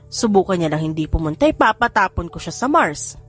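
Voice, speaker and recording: A woman's voice: lowish voice, sing-song, brisk, husky, faint music behind. A woman voicing a teasing, bossy, wealthy grandmother in an audiobook story. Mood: reassuring, teasing.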